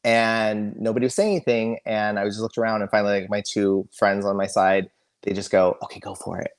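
A middle-aged man with a loud, very deep voice talks candidly as a podcast guest. He chats freely and breaks into laughter often. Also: level tone, a little fast. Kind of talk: casual interview chat.

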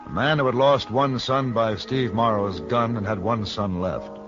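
An actor playing a cool, laconic gunfighter for hire in an American radio Western, measured, terse and firm. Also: male voice, fairly low pitch, music in the background, lightly acted. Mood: grave. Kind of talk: old radio Western acting and narration. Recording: muffled vintage radio broadcast.

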